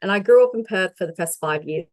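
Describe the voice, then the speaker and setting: A woman's voice: medium pitch, expressive, fast, a little mellow, no room sound. A young woman from Sri Lanka giving a prepared talk as a mental health advocate, dialing in over a video call. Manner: reads a prepared presentation steadily.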